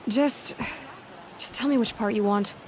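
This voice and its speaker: A woman's voice: mid-range voice, a little slow, darkish tone, a little hiss or hum, lightly acted, rough recording. A woman acting a practical, direct young huntress who asks questions in a video game. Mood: impatient. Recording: clear music under the voice.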